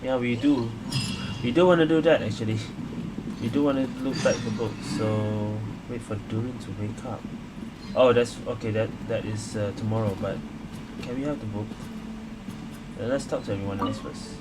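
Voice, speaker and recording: A man's voice: mid-range voice, steady tone, normal speed, heavy background noise. A man giving casual game playthrough commentary as a streamer, reacting and thinking aloud. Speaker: gaming streamer. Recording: loud game music behind.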